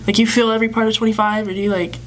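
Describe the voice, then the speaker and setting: A woman's voice: mid-range voice, flat delivery, racing, faint music behind. A woman, co-hosting a podcast in casual chat, telling stories with asides, laughs and swearing. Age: 20s. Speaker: podcast co-host.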